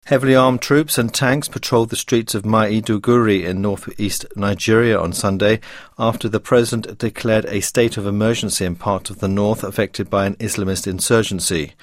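Low-voiced male radio newsreader. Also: steady tone, moderate pace, no room sound, clean studio sound. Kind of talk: scripted news bulletin reading.

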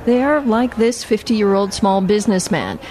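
A woman's voice: medium pitch, a little slow, darkish tone, a little hiss or hum. A woman radio reporter narrating a scripted news report.

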